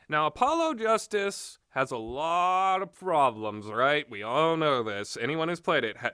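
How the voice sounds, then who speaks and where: A man giving casual, unscripted and opinionated commentary, halting often with fillers and trailing thoughts. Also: mid-range voice, sharp tone, clean tone, no room sound, clean studio sound. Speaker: host of a video game talk.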